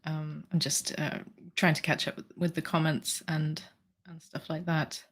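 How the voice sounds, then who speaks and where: A woman's voice: sits low, level tone, measured pace. A middle-aged woman from the UK chatting casually on a livestream, reading out comments with a sore throat and plenty of fillers. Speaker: livestream host.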